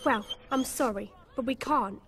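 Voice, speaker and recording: A woman's voice: fairly high pitch, measured pace, over music. A woman playing a plucky, streetwise young girl with a British accent in a video game. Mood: gentle, sorry. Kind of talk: video game cutscene dialogue.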